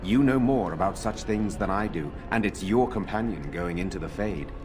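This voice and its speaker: A steady noble lord in a fantasy game, with a lowish voice. He speaks in a formal, deliberate way. Game music is clearly heard behind him. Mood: measured. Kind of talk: video game dialogue.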